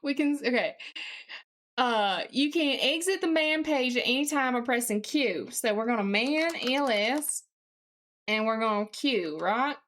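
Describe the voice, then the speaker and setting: A woman with a distinctly high voice chats casually on a live stream. She puts on a Southern US accent and talks in a folksy, mildly sweary manner as she works at her computer. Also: lively intonation, measured pace, fairly bright tone. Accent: put-on Southern US.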